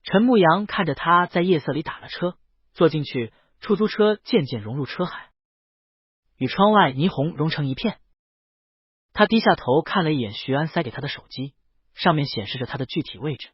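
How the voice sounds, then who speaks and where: Animated higher-voiced male audiobook narrator. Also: normal speed, husky, dry recording, dull audio. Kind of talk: scripted story narration with read dialogue.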